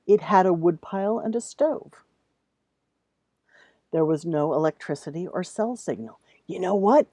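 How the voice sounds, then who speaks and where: A woman giving a casual, conversational writing lesson, reading with deliberate emphasis and commenting plainly. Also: lowish voice, mellow, no echo. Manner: emphatic, reads examples aloud deliberately, then comments plainly; informal, conversational. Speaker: writing teacher. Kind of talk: casual instructional talk to students.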